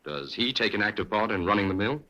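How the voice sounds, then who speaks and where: An actor playing a calm, polite detective who asks probing questions in an old radio drama. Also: male voice, lowish voice, fast talker, lo-fi sound. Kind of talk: 1940s radio acting.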